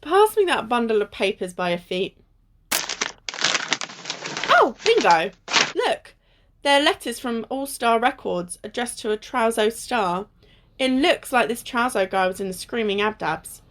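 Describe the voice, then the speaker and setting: A woman's voice: fairly high pitch, animated, unhurried, pauses often, slight background noise. A woman acting a wary, bossy, teasing young adventurer in an amateur radio play. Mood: matter-of-fact, teasing.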